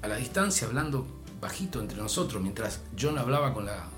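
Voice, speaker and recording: An older man from Argentina with an Argentine Spanish accent, telling a long story in a relaxed chat, his voice rising and dropping as he goes. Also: medium pitch, brisk, over music. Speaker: storyteller guest. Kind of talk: casual anecdote.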